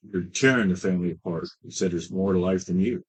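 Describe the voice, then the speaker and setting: A man in his fifties telling his recovery story as a treatment client, halting, with many fillers and restarts. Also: sits low, breathy edge, rough recording. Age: 50s. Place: treatment center.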